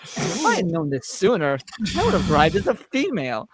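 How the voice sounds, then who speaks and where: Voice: medium pitch, huge pitch swings, brisk, breathy edge, poor audio. A performer in an amateur live script read playing Q as a taunting, theatrical, omnipotent trickster alien with a mock-wounded delivery. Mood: smug warning.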